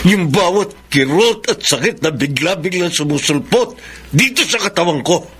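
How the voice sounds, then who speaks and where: A man's voice: a little high, a bit bright, a little hiss or hum. An actor playing a bitter, despairing sick husband and father in a Filipino radio drama, weary and emotional. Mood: despairing, pained.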